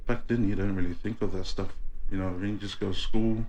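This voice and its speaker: A young man from New Zealand, chatting casually as a guest, relaxed and quick to laugh, using clipped slang. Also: on the low side. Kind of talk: casual chat.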